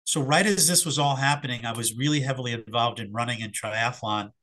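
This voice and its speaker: A middle-aged man, slightly hoarse after an illness, answering interview questions in a reflective, hesitant way with fillers and restarts. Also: mid-range voice, fairly quick, fairly bright tone. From Philadelphia area. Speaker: sports psychologist guest.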